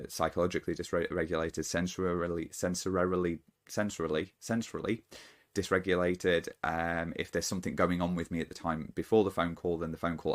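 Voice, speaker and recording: Deep-voiced man talking haltingly. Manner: halting, thoughtful, often restarts sentences. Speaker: channel host. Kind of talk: unscripted solo talk to camera.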